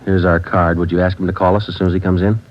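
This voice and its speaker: A man playing a deadpan, low-voiced radio police sergeant. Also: quick pace, slight background noise, close to an everyday voice. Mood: flat. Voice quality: clipped, deadpan. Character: terse police sergeant narrating case, flat and steady. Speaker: detective narrator. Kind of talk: 1940s radio drama acting. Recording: dull old radio broadcast.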